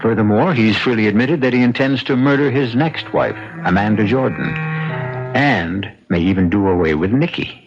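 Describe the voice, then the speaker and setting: A man narrating as host of a radio mystery series, dramatic, measured and portentous, pausing for effect. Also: lowish voice. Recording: dull, lo-fi radio broadcast recording. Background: music.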